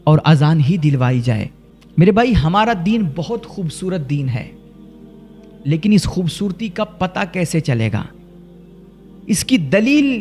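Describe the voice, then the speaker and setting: A man from India preaches in an earnest, emphatic way, leaning on rhetorical questions and deliberate repetition. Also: high voice. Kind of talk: rhetorical religious sermon.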